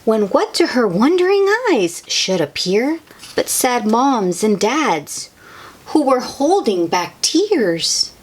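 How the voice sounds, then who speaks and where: A woman reading a picture book aloud to young children in an expressive, sing-song way, with chatty asides to the listeners. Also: medium pitch, slightly rough. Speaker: children's read-aloud host.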